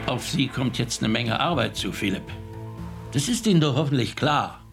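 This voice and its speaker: A man's voice: mid-range voice, expressive, moderate pace, creaky, music in the background. An actor playing a stern, sarcastic male police chief in a radio crime drama.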